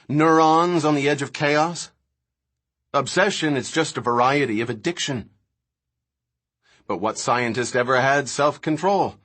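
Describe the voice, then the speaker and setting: A man's voice: a little high, fairly bright tone, slightly rough, exaggerated voice. An intense, opinionated scientist from an audiobook novel , acted out by a male narrator. He lectures impatiently in emphatic, rhetorical phrases, taking his time over the words.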